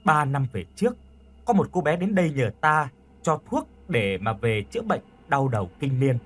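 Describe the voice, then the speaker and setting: A man voicing a kindly, dignified sixty-year-old village healer in an audio story, with an unhurried, fatherly manner. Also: mid-range voice, sing-song, fairly bright tone. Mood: sorrowful.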